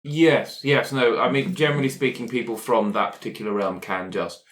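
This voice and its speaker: A man speaking in a halting, hesitant way as he improvises and chats as a player in a tabletop roleplaying game. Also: mid-range voice, fairly even intonation, fairly bright tone.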